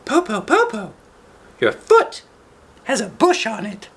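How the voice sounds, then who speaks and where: Man putting on a high-pitched wary little girl. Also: huge pitch swings, slow pace, creaky, a bit bright, slightly rough, full character voice. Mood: puzzled, suspicious. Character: eldest little girl, polite but wary child.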